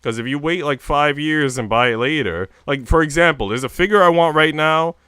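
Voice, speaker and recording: A man's voice: fairly high pitch, a little fast, sharp tone, clean tone, dry recording. A man livestreaming, chatting casually with viewers in a rambling, slangy way with casual swearing. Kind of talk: casual chat with stream viewers.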